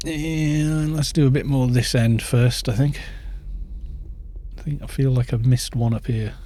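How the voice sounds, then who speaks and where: A man's voice: medium pitch, fairly even intonation, measured pace, mellow. A British man in his fifties chatting in a rambling way while streaming a game and reading out viewer chat. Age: 50s. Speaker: gaming streamer.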